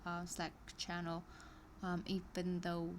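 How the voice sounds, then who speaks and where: Lower-voiced woman streaming her study session. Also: completely flat delivery, takes it slowly, a little hiss or hum. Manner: reads course text aloud, breaking off into chatty asides and small laughs; hesitant, many ums and restarts. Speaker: live streamer working through an online course. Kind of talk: casual live-stream reading with commentary.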